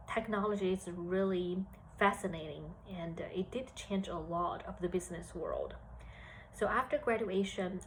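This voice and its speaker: A woman in her thirties from China with a Chinese accent, giving career advice at a measured pace with frequent pauses and fillers. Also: on the low side, a little hiss or hum, low-quality recording. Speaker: senior HR analyst. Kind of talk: career advice talk to students.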